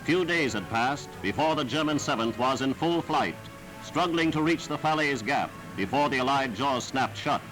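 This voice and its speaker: A man's voice: mid-range voice, lively intonation, moderate pace, music in the background, poor audio. A man reading scripted 1940s wartime newsreel narration in a formal, dramatic, declamatory manner. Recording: vintage 1940s newsreel soundtrack.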